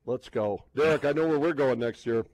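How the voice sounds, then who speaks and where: A man's voice: mid-range voice, fairly quick, a little mellow, dry recording, low-quality recording. A man hosting a live sports talk show, speaking casually in an emphatic, jocular way. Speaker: sports radio host and play-by-play announcer.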